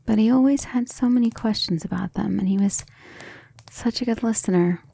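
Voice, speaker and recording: Woman playing a breathy, creaky-voiced tender vampire lady. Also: fairly high pitch, moderate pace, dark tone. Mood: wistful, confiding. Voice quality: breathy, often dropping into whisper. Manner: affectionate, refined, hesitant musing. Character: centuries-old vampire woman, tender, proud, devoted lover. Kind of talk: ASMR roleplay, intimate and slow.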